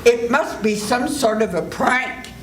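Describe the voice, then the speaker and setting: A woman's voice: fairly high pitch, unhurried, a little hiss or hum, lightly acted. An older woman playing a firm, take-charge doctor. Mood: steady. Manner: firm, reassuring, commanding. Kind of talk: 1940s radio drama reading. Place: senior center event room. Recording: echoey room with loud music.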